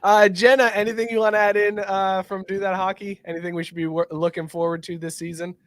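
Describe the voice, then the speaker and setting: A man's voice: high voice, little pitch movement, fast, a bit bright, dry recording. A man hosting a casual sports banter podcast, talking with enthusiasm and plenty of slang and swearing. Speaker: podcast host.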